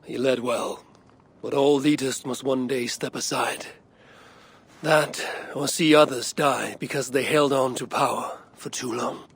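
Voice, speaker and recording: An actor playing a steadfast Viking warrior in a video game, counseling peace in a measured, earnest and solemn manner. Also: male voice, medium pitch, steady tone, vocal fry, fairly bright tone, husky, full character voice. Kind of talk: dramatic video game acting.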